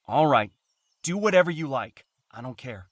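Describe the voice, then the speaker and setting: A mid-pitched, dry-recorded voice for a tired husband acted by a man. He is curt, defensive and dismissive, in video game drama style. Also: lively intonation, unhurried, dry recording. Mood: irritated, dismissive. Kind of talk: dramatic game cutscene acting.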